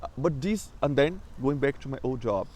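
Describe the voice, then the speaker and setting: A man's voice: mid-range voice, expressive, normal speed, a little mellow. A young man with a Brazilian accent, talking casually in a personal vlog and stuttering with repetitions and restarts. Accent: Brazilian Portuguese. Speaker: vlogger.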